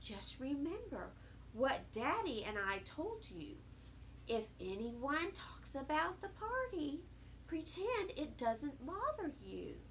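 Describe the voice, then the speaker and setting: A woman voicing a firm but soothing mother with a measured, parental manner in a storybook reading. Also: high voice, big pitch swings, bright, forceful tone, a little hiss or hum, full character voice, rough recording. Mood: reassuring. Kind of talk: children's storybook read aloud.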